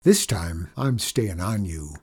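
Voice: deep voice, slow, husky, voice pushed down, full character voice. A narrator voicing a plucky, determined young schoolboy in a children's story. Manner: excited exclamations and determined muttering. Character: plucky, determined third-grade boy riding a bucking chair.

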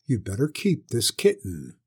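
A woman's voice: a bass voice, wildly swooping pitch, a little slow, darkish tone, breathy edge, heavily acted. A woman voicing an aging mother cat in a storybook, meek and faltering but politely stubborn. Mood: stubborn, pleading.

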